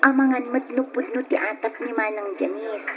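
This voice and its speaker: A woman's voice: a little high, moderate pace, crowd noise, lightly acted. A woman acting in an old radio drama as a troubled, plaintive married woman confiding hesitantly in a relative. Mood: urging. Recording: muffled old radio recording, faint noise.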